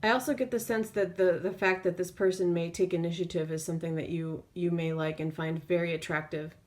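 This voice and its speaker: A woman tarot reader speaking off the cuff in an intuitive reading, feeling her way through phrases with drawn-out pauses. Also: fairly low pitch, fairly even intonation, a little mellow.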